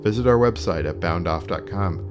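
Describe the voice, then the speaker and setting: A man's voice: low-pitched, normal speed. A man reading a scripted podcast outro as the show's announcer. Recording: music under the voice.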